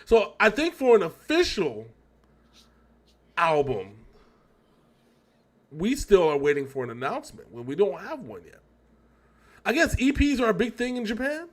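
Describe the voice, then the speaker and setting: A man's voice: sits high, wildly swooping pitch, moderate pace, pauses often. A man hosting a casual music reaction chat, rambling and often correcting himself as he thinks aloud. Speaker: reaction show host.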